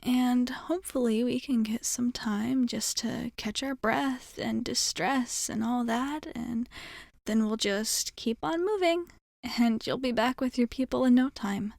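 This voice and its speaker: A woman playing a friendly, optimistic alien pilot in a soft-spoken ASMR roleplay, reassuring the listener in a hesitant, apologetic way. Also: on the high side, measured pace, gravelly, no echo, studio quality. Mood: gentle, encouraging.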